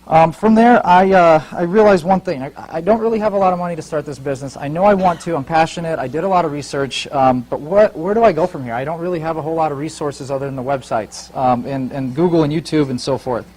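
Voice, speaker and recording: A young man in his twenties speaks as an entrepreneur in an informal motivational talk. His voice sits a little higher than most men's. He addresses his listeners directly and in plain, casual terms. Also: quick pace, hardly any pauses, a little mellow, rough recording. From Ukraine, later Fort Wayne, United States. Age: 20s. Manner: informal, talks directly to the audience.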